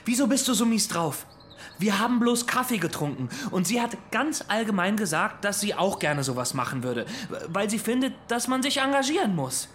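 An actor playing a naive, earnest young math nerd in a radio drama, speaking in a hesitant, awkward way. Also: male voice, high-pitched, big pitch swings, normal speed, a bit bright, slight background noise. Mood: defensive, indignant. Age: young adult. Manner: hesitant, stammering.

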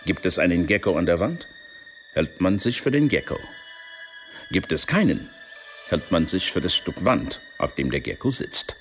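A man's voice: deep voice, sing-song, moderate pace, soft background music. An actor playing a worldly, sly man in a radio play, speaking in a dry, knowing manner.

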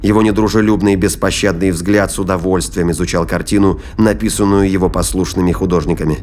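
Low-voiced male audio drama narrator. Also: steady tone, fast, a little mellow, slight background noise. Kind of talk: scripted narration of science-fiction thriller audio play.

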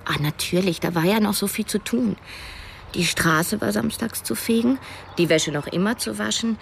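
A woman's voice: medium pitch, normal speed, vocal fry, a little hiss or hum, close to an everyday voice. An actress playing a wry, introspective woman who narrates her own story in a radio drama. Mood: reflective. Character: cash-strapped woman narrator, wry, conflicted amateur sleuth.

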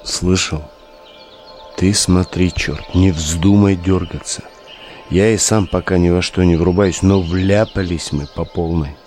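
A hardened street tough in an audio drama. He has a lowish voice and a wary, domineering manner, with crude and sardonic phrasing. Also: steady tone, a little slow, darkish tone, faint music behind. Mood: grim, wary. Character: hard, domineering, foul-mouthed gang tough, wary and sardonic.

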